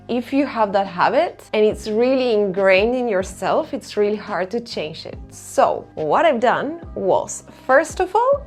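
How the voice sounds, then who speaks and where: Woman with an Argentine Spanish accent. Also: on the high side. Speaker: video channel host. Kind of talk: personal self-help talk to camera.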